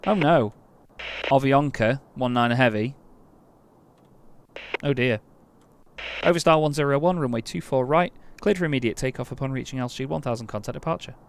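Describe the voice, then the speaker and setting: A man's voice: mid-range voice, a little hiss or hum. Chatty man issuing tower radio calls. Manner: chatty, reads call signs, exasperated muttering. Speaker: game streamer playing air traffic controller. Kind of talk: casual gameplay commentary with radio calls.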